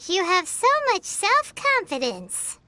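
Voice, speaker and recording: A woman voicing a tiny, pert, excitable childlike sprite in a video game, with a squeaky voice. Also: slow pace, full character voice. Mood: curious. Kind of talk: video game character acting.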